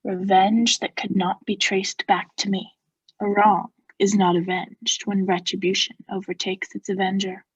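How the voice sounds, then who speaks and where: A young woman playing a coldly vengeful noble who feigns friendship, in a measured, formal, insinuating theatrical radio-play style. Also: medium pitch, level tone, husky, no echo, lo-fi sound. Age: young adult. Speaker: student actor.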